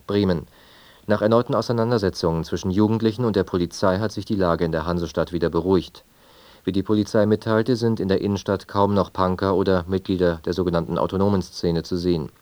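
A man with a low voice reads a radio bulletin in standard German from Germany. His delivery is formal and measured, recorded close and dry without room sound. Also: fairly even intonation, darkish tone, dry recording. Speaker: radio newsreader. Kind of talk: scripted news and weather bulletin.

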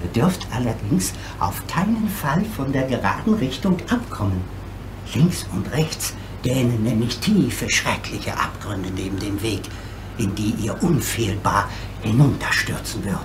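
An actor playing a gentle, courteous, lonely old giant in a radio play, explaining things in a theatrically expressive way. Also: male voice, mid-range voice, measured pace, noisy background. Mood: ominous warning.

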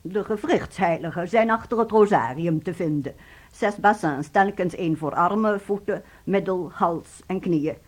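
An actress playing a pious, businesslike nun in a radio play, formal, measured and matter-of-fact. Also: female voice, on the low side, close to an everyday voice. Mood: brisk, formal. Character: businesslike, pious nun directing pilgrims at holy springs.

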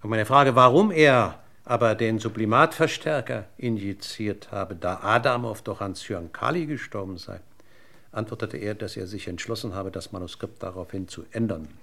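Police inspector, radio crime drama, low gravelly voice, formal, verbose, courteous, probing. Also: male voice, sharp tone. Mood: expository, measured. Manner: formal, circumlocutory, deliberate.

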